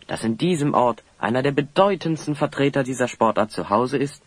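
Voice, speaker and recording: An actor playing a confident, courteous adventurer hero in a German audio drama, polite and assured. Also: male voice, mid-range voice, animated, a little fast, no echo, close to an everyday voice.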